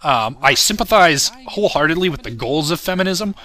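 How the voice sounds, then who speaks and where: A man's voice: a little high, lively intonation, brisk, bright, forceful tone. A man giving a casual, rambling commentary as the creator of a show, candid, self-deprecating and freely profane, often chuckling as they talk. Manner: chuckles often while talking. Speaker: creator recording a commentary on own parody series.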